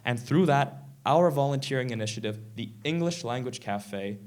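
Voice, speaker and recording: A sixteen-year-old boy from Canada giving a prepared stage talk in an earnest, measured way, a little sick. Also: mid-range voice, lively intonation, darkish tone. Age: teens. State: slightly sick, clearing throat. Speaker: presenter. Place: event stage.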